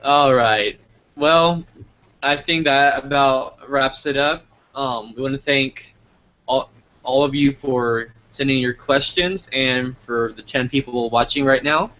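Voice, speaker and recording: A man's voice: medium pitch, slow pace, a bit bright, muffled recording. A man hosting a casual live interview, talking informally as he puts questions and addresses the audience. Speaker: interview host.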